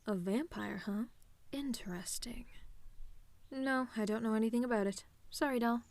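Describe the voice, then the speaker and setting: A woman's voice: fairly high pitch, moderate pace, creaky, darkish tone. A woman playing a cocky, sarcastic tomboy demon with a casual, teasing delivery in an audio roleplay. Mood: dismissive, sardonic. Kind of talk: ASMR-style scripted roleplay monologue.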